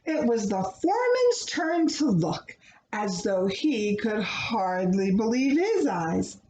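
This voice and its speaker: Lady reading a kids book out loud, slow n very expressive, echoey room. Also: medium pitch, lo-fi sound. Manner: animated, dramatic, explanatory. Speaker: children's book reader. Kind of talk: expressive storybook read-aloud with asides explaining words. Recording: roomy echo, clear background noise.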